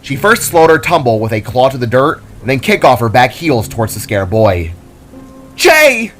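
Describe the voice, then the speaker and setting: A man's voice: medium pitch, animated, brisk. A man giving a dramatic scripted narration of a fantasy story, as its narrator. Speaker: author and narrator. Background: soft music.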